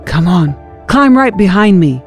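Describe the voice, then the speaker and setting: A woman's voice: mid-range voice, sing-song, a little slow, a little mellow, soft background music. A woman voicing a bold, devout young warrior prince in an audio scripture reading, urging and confident. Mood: rallying.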